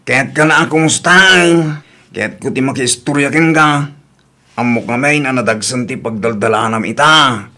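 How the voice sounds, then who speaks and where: A man's voice: mid-range voice, moderate pace, sharp tone. A man with an Ilocano accent acting as an earnest, concerned friend in an audio drama.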